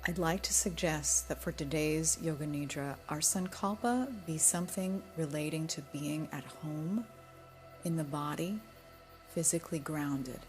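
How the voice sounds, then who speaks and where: A woman guiding a relaxation meditation in a gentle, soothing, unhurried manner, with soft breathy moments. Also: sits low, faint music behind. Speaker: yoga nidra guide.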